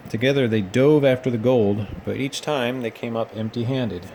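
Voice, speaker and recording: A man's voice: medium pitch, moderate pace, a little mellow, a little hiss or hum. A man reading a children's storybook aloud expressively, as if for bedtime. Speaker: storybook reader, children's read-aloud host.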